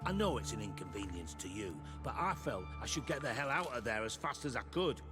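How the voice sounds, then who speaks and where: An actor playing a gruff, cynical middle-aged crime boss and former spymaster in a video game, sardonic and blunt. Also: male voice, medium pitch, big pitch swings, brisk, natural voice. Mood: exasperated. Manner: sardonic, blunt, foul-mouthed. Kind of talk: dramatic video game dialogue. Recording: background music behind the voice.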